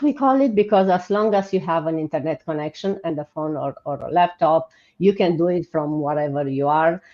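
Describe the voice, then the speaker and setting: A woman's voice: sits low, warm tone. Romanian-accented woman hosting, slightly muffled sound. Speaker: host. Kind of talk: informal livestream hosting. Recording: muffled, band-limited online stream audio.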